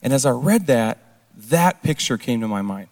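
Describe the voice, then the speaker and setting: A hoarse man giving a casual testimony talk at a recovery ministry service, throwing questions out to the crowd. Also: medium pitch, lively intonation, moderate pace, darkish tone. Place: large church hall with an audience. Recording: long hall reverb.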